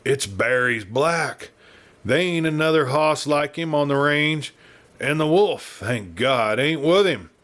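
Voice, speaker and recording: A man's voice: a little high, lively intonation, slow, pitched above the performer's own voice. Western audiobook sidekick, nervous cowboy with rustic American accent, whispery, apologetic, pleading; male reader acting. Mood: awed, relieved. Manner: hushed, sighing, whispering, apologetic. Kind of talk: Western audiobook character reading.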